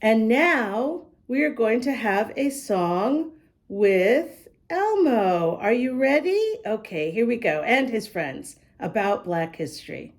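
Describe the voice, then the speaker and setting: A woman's voice: a little high, animated, slow pace. A woman reading a rhyming picture book aloud as host, speaking directly to young listeners. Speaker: children's book reader and host.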